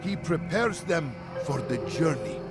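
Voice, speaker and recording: A man's voice: fairly high pitch, sing-song, a little slow. An actor playing a loyal, defiant henchman in a video game, speaking insistently and with emphasis. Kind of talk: video game cutscene acting. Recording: loud music bed behind the voice.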